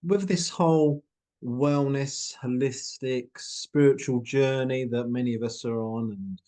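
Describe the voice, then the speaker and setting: Man, interview host, upbeat, rambling, mid-pitched voice. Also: unhurried, dark tone. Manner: upbeat, rambling questions with restarts. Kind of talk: casual interview chat.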